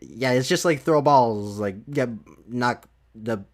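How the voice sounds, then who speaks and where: A man's voice: mid-range voice, takes it slowly. A man hosting a casual podcast chat, talking hesitantly and trailing off as he works through topics. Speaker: podcast host.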